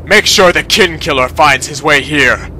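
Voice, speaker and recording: A woman's voice: sits low, unhurried, sharp tone, a little hiss or hum. A woman voicing an unhinged, vengeful male vampire villain in an audio drama, theatrical and gloating. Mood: angry, commanding.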